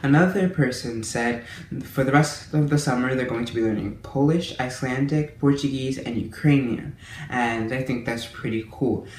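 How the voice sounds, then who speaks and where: A man's voice: medium pitch, normal speed. A man giving a casual monthly language-learning update to his viewers, talking in a friendly, chatty way with small restarts. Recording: roomy echo with faint room noise.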